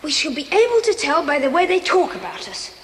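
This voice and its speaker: High-pitched plucky boy adventurer played by a woman. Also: very animated, brisk, a bit bright. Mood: urgent, commanding. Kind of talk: children's audio adventure dramatisation. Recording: noisy, reverberant old cassette dramatisation.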